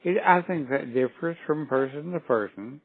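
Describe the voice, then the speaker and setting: An older man talking over a narrow phone line, unhurried and folksy as his stories wander. His voice sits at an ordinary middle pitch. The line is thin and tinny. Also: no echo. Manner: folksy, rambling storyteller. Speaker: caller on a sales call. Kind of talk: casual phone conversation.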